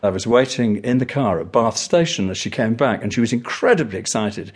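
A man's voice: medium pitch, lively intonation, brisk, breathy edge. A middle-aged or older man, reminiscing in anecdotes as a documentary interviewee.

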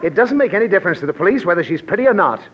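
A man's voice: sits high, big pitch swings, very fast. A blustering, exasperated father. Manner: blustering, lecturing, easily flustered. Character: exasperated middle-aged father. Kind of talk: 1940s radio comedy acting. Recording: dull old radio broadcast, faint hiss.

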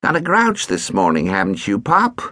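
A man's voice: lowish voice, huge pitch swings, moderate pace, sharp tone, dry recording, studio quality, heavily acted. A man voicing a lazy, cheeky stepson who needles his stepfather in a complacent tone. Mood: smug. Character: smug chubby boy, lazy and cheeky.

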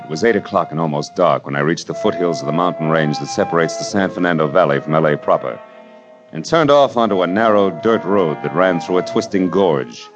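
An actor playing a wisecracking, hard-boiled private detective who narrates with a dry, wry delivery in a 1940s radio drama. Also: male voice, low voice. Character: wisecracking tough male private eye, middle-aged. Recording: dull old radio broadcast recording.

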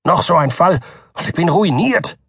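A stern old family doctor, as a male narrator plays him, clipped and irritable, pitched a little above the reader's usual voice. The sound is close and dry. Every line lands short and sharp, like a man out of patience. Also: expressive, moderate pace, breathy edge, no echo, heavily acted. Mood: irritated. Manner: curt, snappish.

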